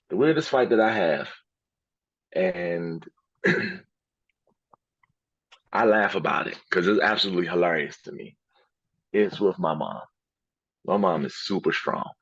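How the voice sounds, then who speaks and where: A man's voice: deep voice, slow pace, pauses often, husky, dry recording, lo-fi sound. A man hosting a podcast who talks emphatically and repeats phrases to drive his point home. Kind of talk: podcast conversation.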